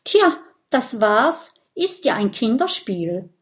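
Tiny male storybook dragon, played by a woman, high-pitched, self-important, excitable, stammers when rattled. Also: slow. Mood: surprised, relieved. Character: tiny boastful, jittery male burrowing dragon.

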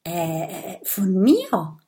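A woman voicing a tiny male burrowing dragon from a children's story, boastful yet jittery, excitable and prone to stammering. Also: fairly low pitch, drawn-out words, exaggerated voice. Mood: nervous. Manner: excitable, stammering, self-important.

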